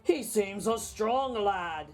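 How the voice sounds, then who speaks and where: A woman's voice: medium pitch, animated, takes it slowly, soft background music. A woman voicing a boastful, gluttonous bully of a male giant in a children's storybook read-aloud. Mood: impressed, uneasy. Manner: blustering, boastful.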